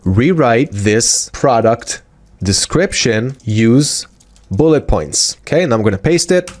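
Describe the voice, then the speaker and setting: A man's voice: medium pitch, lively intonation, slow pace. A man instructing an online course with a screen-recorded tutorial, talking in an upbeat, direct way and often saying okay.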